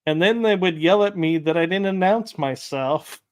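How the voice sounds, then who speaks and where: A man's voice: sits high, moderate pace, clear, resonant tone. A man hosting a casual interview, telling rambling anecdotes with light laughs. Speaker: podcast host.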